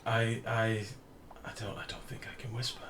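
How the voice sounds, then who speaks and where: A man with a deep, booming voice giving casual, sweary live game commentary as a streamer and chatting with viewers. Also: level tone, normal speed. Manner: informal, sweary, reads and answers chat. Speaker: game livestreamer. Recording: clear background noise.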